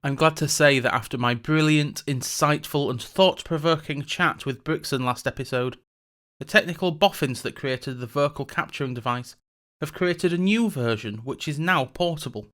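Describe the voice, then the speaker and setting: A man's voice: fairly high pitch, moderate pace, a bit bright, close to an everyday voice. A man playing a reluctant, sardonic male mage who hosts a tourism show, delivering scripted comic narration with dry, sarcastic asides. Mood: wry. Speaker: podcast host.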